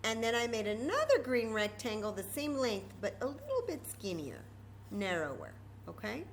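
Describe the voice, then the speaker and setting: A woman's voice: a little high, huge pitch swings, moderate pace, a little hiss or hum. A woman presenting a children's story time craft, explaining each step informally and patiently and repeating key words.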